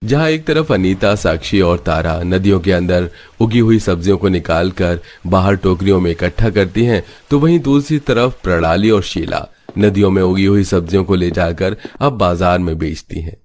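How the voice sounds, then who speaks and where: A man's voice: sits low, moderate pace, hardly any pauses, darkish tone. A man reading scripted narration for a cartoon story.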